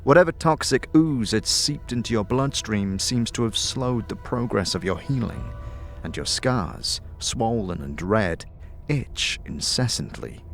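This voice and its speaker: A man with a fairly deep voice narrates a fantasy audio drama. His delivery is scripted, measured and dramatic, addressing the listener as the hero, with soft music underneath. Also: lively intonation, vocal fry.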